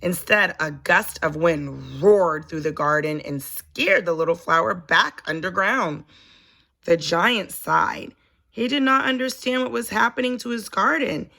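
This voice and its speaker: A woman reading a children's story aloud in an expressive, deliberate storytelling manner. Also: on the low side, gravelly. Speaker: storybook reader, teacher.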